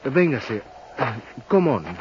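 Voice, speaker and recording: An actor playing an earnest, helpful investigator with a Mexican Spanish accent and halting English, in 1950s radio drama. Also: male voice, medium pitch, very animated. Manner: halting, searching for English words. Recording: muffled, faintly noisy 1950s radio recording.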